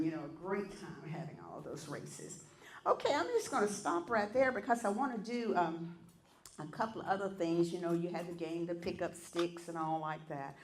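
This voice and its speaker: Loud folksy woman in an echoey hall. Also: medium pitch. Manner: chatty and folksy, coaxing the crowd to join in. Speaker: event entertainer leading songs and games. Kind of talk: casual talk to an audience of elders. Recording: echoey room.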